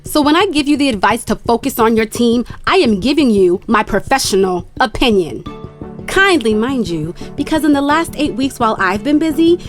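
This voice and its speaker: A young adult actress playing an ambitious female sports agent who lectures in a crisp, cutting way. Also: a little high, animated, moderate pace, faint music behind, close to an everyday voice. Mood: lecturing. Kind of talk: audio drama dialogue.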